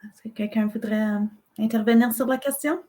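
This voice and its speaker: A woman's voice: fairly high pitch, steady tone, normal speed, mellow. Woman moderator, polite and orderly. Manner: polite, restating questions clearly. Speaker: webinar moderator, program director. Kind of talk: moderating a panel.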